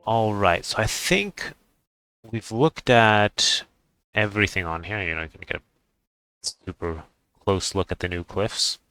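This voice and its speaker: Deep-voiced man, casual game commentary. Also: unhurried, bright, forceful tone, clear, resonant tone, no echo, poor audio. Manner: offhand, rambling, thinking aloud. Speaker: gaming video creator.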